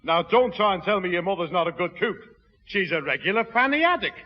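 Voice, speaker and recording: High-voiced jovial Northern word-mangler. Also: male voice, expressive, fairly quick. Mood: jovial, boastful. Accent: Northern English. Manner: blustering, malapropism-prone. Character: jovial, pompous working-class Northern man, mangles big words. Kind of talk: 1960s radio comedy acting. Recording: muffled, echoing, noisy old radio broadcast.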